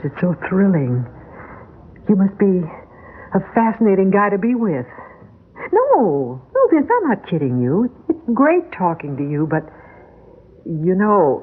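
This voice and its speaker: A woman's voice: lowish voice, husky, heavily acted. An actress playing a frightened young woman, pleading and anxious, in a 1950s radio drama. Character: frightened young woman harassed by phone calls. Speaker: lead actress and narrator. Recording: dull lo-fi old radio recording.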